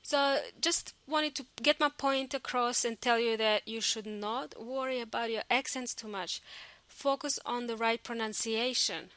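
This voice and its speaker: A woman English teacher with a Spanish accent chats through a podcast lesson, encouraging and loose, with fillers and restarts along the way. Her voice sits a little high and sounds bright and forceful. The sound is close and dry, with no room around it. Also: unhurried, no echo.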